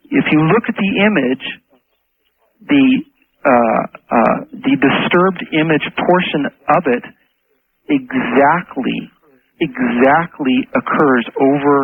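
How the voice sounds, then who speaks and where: Over a thin telephone line, a man with a creaky, rough voice explains things as a radio guest. He is earnest and halting, often doubling words for emphasis. His pitch is average. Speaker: phone-in guest.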